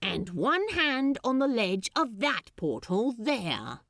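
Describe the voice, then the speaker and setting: Storybook male demon played by a woman: cocky, casual, reckless, persuasive, wildly lively intonation, close dry sound. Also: a little high, slow pace, no room sound, heavily acted. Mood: persuading. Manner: breezy, casual, coaxing.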